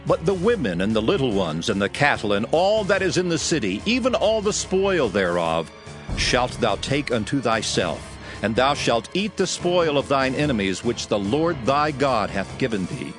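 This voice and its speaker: Casting: male scripture narrator, mid-pitched, grave and formal, richly varied intonation, soft music underneath. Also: very animated. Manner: solemn, formal, measured.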